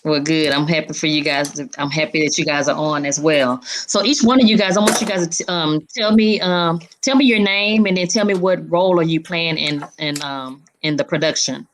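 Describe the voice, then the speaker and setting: Woman, show host, slightly low pitch, informal, chatty, upbeat interview style. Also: a little fast, hardly any pauses, noisy background.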